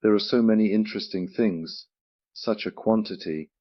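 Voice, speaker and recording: Low-voiced chatty Russian-speaking man. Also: normal speed, no echo, muffled recording. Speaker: game project presenter. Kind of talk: casual livestream chat.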